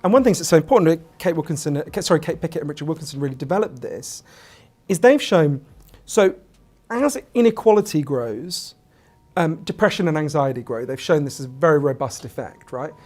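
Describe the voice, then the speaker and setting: A man's voice: on the high side, lively intonation, fairly quick, a little mellow. A man giving a conversational, emphatic explanation, often checking in with the listener.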